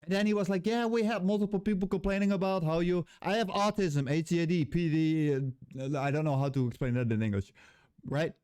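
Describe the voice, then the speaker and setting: A man's voice: a little high, brisk, a little mellow, clear, resonant tone, dry recording. A man with a Dutch accent speaking English as a second language, ranting bluntly and heatedly to camera, swearing freely and searching for words. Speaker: vlogger, former game level designer. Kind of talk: unscripted angry rant to camera.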